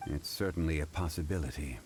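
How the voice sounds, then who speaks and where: An actor playing a grave, knowledgeable gentleman in a video game, speaking in a formal, measured and deliberate way. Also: extremely low pitch, soft background music. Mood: grave, calm. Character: composed, ageless scholarly gentleman, grave and knowledgeable. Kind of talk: video game voice acting, scripted exposition.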